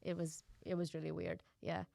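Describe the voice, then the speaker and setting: Laughing low-voiced woman comedian. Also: level tone, normal speed, vocal fry, warm tone, no echo, studio quality. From Kuwait. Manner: laughing, self-deprecating, swearing freely. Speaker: comedian guest. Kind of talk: casual podcast chat.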